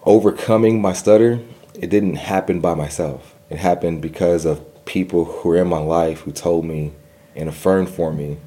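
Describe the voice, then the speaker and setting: A man's voice: low-pitched, normal speed, mellow. A young man telling his personal story in an interview, earnest, with a stutter and repeated words. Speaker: guest.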